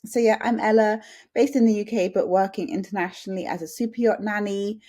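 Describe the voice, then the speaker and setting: A woman's voice: mid-range voice, fairly even intonation, a little fast, dark tone. An English woman in her late twenties, chatting and telling anecdotes as an interview guest. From UK, small town in Suffolk. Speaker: podcast guest. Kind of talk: conversational interview.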